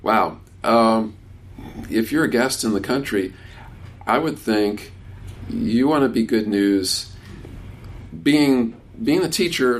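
A man in his sixties talks with a workshop crowd in a relaxed way. He is conversational and often restarts his sentences as he answers questions. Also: fairly low pitch, slightly rough. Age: 60s. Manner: warm, conversational, many restarts. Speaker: workshop speaker. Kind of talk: casual talk with questions from the audience.

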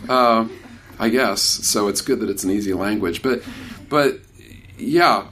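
A man's voice: lowish voice, unhurried, a little hiss or hum. Man in his sixties fielding questions. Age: 60s. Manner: warm, conversational, many restarts. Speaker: workshop speaker. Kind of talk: casual talk with questions from the audience.

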